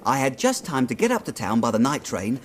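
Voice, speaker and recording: Actor as an animated, earnest young clerk. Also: male voice, medium pitch, brisk, a little hiss or hum, lightly acted. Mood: puzzled, intent. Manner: eager, deferential, storytelling. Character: young male clerk, earnest, uneasy, recounting his puzzle. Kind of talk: period radio drama acting.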